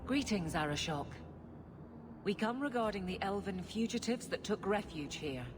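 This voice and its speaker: A woman's voice: mid-range voice, lively intonation, moderate pace, close to an everyday voice. An actress playing a dutiful female guard captain who speaks in a firm, formal, no-nonsense way. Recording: game audio with noisy ambience.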